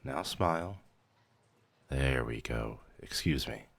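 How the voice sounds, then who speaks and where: A man playing a brisk, weary vampire mentor in a scripted ASMR roleplay, measured, clinical and dry in manner. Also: a bass voice, animated, fairly bright tone, heavily acted. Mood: clinical, professional. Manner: measured, clinical, dryly matter-of-fact, hesitant when surprised. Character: male vampire mentor, brisk weary clerk-medic, professional turning casual.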